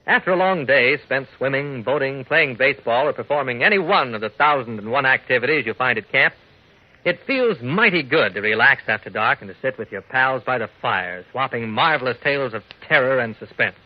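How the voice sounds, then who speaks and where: Old timey guy narrating, measured storyteller, muffled lo-fi radio sound. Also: mid-range voice, animated. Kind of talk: old-fashioned scripted narration. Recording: dull lo-fi old radio recording.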